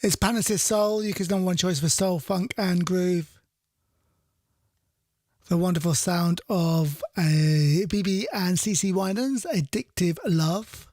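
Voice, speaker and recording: A man's voice: sits high, takes it slowly, a little mellow, no echo, studio quality. A man presenting a radio show casually, chatty and rambling, often correcting himself mid-sentence. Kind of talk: casual radio presenting between soul songs.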